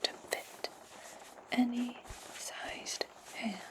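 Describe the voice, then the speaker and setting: A woman playing a calm, commanding, sly witch in a whispered ASMR fantasy roleplay, instructing her servant slowly and deliberately. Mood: hushed, instructive. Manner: slow, deliberate, hushed instruction.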